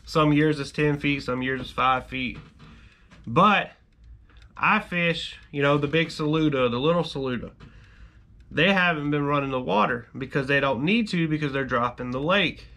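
A man's voice: fairly high pitch, moderate pace, bright, forceful tone. A man in his thirties, a fishing channel host, explaining to camera in a chatty, easygoing way full of "you know" fillers, from a workshop at desk distance. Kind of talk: casual instructional talk to camera. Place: cluttered garage workshop, indoors.

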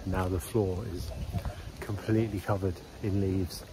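Upbeat deep-voiced man outdoors. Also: level tone, measured pace, background noise. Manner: upbeat, chatty weather updates. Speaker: travel vlogger. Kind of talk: daily outdoor vlog. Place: outdoors in a city. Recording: background music over outdoor ambience.

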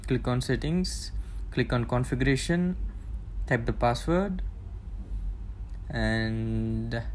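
A man presenting a tech tutorial, explaining the setup step by step in a plain, halting way with fillers. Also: mid-range voice, darkish tone, slight background noise. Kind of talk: casual step-by-step demonstration.

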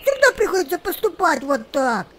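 Woman doing a tiny plaintive sparrow, very high. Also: big pitch swings, normal speed, fairly bright tone, heavily acted. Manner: chirping, plaintive. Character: small, plaintive male sparrow thief.

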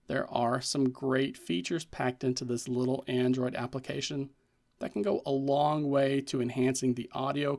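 Gravelly-voiced man narrating a tutorial. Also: mid-range voice. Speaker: tech tutorial host.

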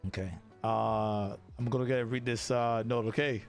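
A man's voice: mid-range voice, steady tone, moderate pace, gravelly, soft background music. A young man streaming casual improvised game roleplay as a deputy, hesitant and repeating himself, on a close mic. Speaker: streamer playing a sheriff's deputy in online roleplay. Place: small indoor streaming room.

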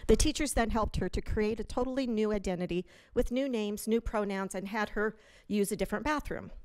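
A woman's voice: medium pitch, brisk, a little mellow, clear, resonant tone. A woman giving public comment in a large hotel meeting room, indignantly reading news stories aloud from a prepared text at a podium microphone.

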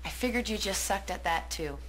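An actress playing a sharp-tongued young woman. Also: medium pitch, expressive, brisk, natural voice, poor audio. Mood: blunt. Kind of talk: sitcom acting. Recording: television soundtrack with faint music.

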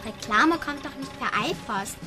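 A woman's voice: sits high, expressive, normal speed, music in the background. A woman acting a clever, forthright young girl in a children's radio play, direct and precise. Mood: insistent.

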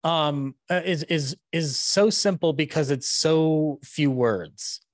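A man, chatting casually in a halting, enthusiastic way into a close podcast mic. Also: on the high side, dry recording. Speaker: podcaster, panel host. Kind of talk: casual chat. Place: small room at home, on a video call. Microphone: close podcast microphone on boom arm.

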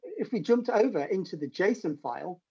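A middle-aged British man with a husky voice explains a technical demo step by step in an informal screen-share tutorial. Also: high voice, very animated, normal speed, a little mellow, muffled recording. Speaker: developer advocate giving a technical demo. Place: home room, indoors.

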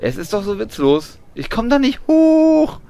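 A man's voice: very high-pitched, very animated, measured pace, creaky, warm tone. A man giving casual, colloquial and often grumbling commentary while playing a video game. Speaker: gamer doing a let's play.

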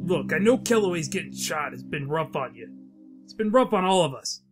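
A man's voice: on the high side, expressive, brisk, soft background music, close to an everyday voice. A man playing a defensive, placating middle-aged police captain in a comic-book dub. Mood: uneasy. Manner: hedging, placating.

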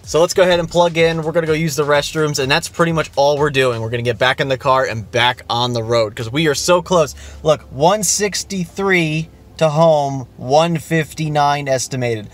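A man's voice: a little high, moderate pace, few pauses. A man casually narrating a road trip from his car, chatty and upbeat, thinking aloud with fillers. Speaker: vlogger. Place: in a car on the highway.

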